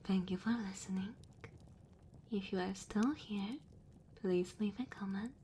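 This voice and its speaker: A female ASMR creator talks to her listeners in a breathy whisper. She is unhurried and sits at a medium pitch, with faint ambience underneath. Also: warm tone, slight background noise. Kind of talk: whispered ASMR outro, thanking patrons.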